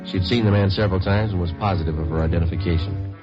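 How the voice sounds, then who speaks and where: A terse detective played by a man narrates and questions in a very deep, fast, deadpan voice. He is methodical and clipped, in the manner of vintage radio crime drama. The sound is dull, like an old broadcast. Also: over music, natural voice. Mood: flat, procedural. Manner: clipped, deadpan, matter-of-fact. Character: terse, methodical male police detective who narrates his cases. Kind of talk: 1950s radio police drama acting.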